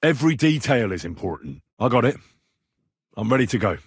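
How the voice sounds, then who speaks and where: Young medieval man, husky, dry close recording, blunt, righteous, earnest, video game dialogue. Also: mid-range voice, sing-song, brisk, dry recording. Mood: earnest, matter-of-fact. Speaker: voice actor.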